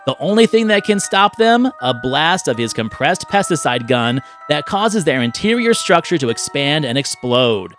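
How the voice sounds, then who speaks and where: A man playing a dramatic, salesman-like movie trailer announcer reading a scripted pitch. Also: big pitch swings, normal speed, hardly any pauses, fairly bright tone, faint music behind. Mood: hyped, dramatic. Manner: theatrical, salesman-like.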